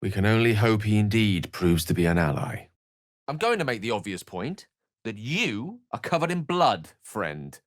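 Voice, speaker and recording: Deep, animated, eloquent male wizard. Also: moderate pace, no echo, studio quality. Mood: earnest, musing. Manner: theatrical, erudite, wry phrasing. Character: eloquent, wry, bookish male wizard companion. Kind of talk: video game character voice acting.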